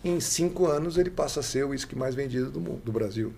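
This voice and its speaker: A man's voice: mid-range voice, quick pace, gravelly, a little mellow, husky. A man in his sixties with a São Paulo Brazilian accent, telling stories conversationally as a podcast guest, repeating key phrases for emphasis. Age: 60s. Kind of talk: animated conversational storytelling.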